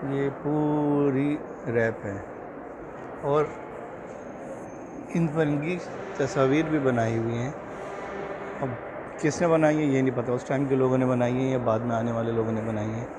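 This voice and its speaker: A man in his thirties giving a casual walking tour of a large museum hall, pointing out exhibits in a loose, halting way on a handheld phone. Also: medium pitch, fairly even intonation. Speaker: travel vlogger. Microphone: phone held at arm's length with earphone mic. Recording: loud hall background noise.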